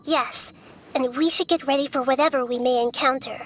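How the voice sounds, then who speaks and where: A woman voicing a small, wise, gentle girl deity in a video game, explaining calmly and patiently. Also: high-pitched, a little fast, background noise, close to an everyday voice. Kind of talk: English video game voice acting.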